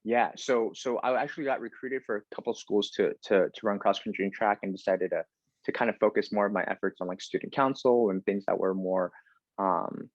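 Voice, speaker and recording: A man's voice: lowish voice, a little fast, no echo, highs cut off. A man in his thirties from the United States, talking casually as a podcast guest with lots of fillers and restarts. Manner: chatty, many fillers and restarts. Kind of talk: casual interview conversation.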